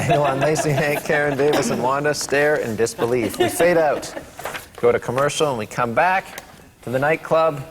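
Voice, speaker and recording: A man's voice: fairly high pitch, moderate pace. A man reads scripted stage directions as narrator at a table read. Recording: reverberant room, faint background noise.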